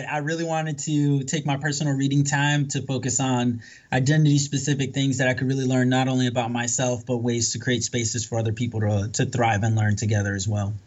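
A man born in the Dominican Republic, a podcast guest talking in a reflective, casual way, often tagging his points with "right?" and filling pauses with "um". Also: mid-range voice, highs cut off. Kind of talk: casual conversational interview.

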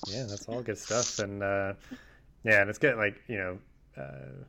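A man with a fairly low voice hosts a relaxed podcast chat. He rambles through his thoughts with plenty of "uh" and "like" as he wraps things up. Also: measured pace, creaky. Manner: filler-heavy, rambling with many "like" and "uh". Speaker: podcast host. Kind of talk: casual interview chat.

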